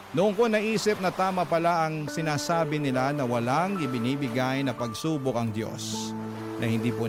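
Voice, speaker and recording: A man narrating a first-person story dramatically, as a radio drama host. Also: a little high. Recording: music playing behind the voice. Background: music.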